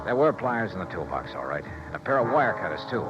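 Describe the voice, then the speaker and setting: An American man playing a tough, quick-witted, wry and wary private eye in a 1950s radio detective drama. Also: fairly low pitch, big pitch swings, over loud music. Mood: wry narration. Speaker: lead actor and narrator. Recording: noisy, muffled 1950s radio recording.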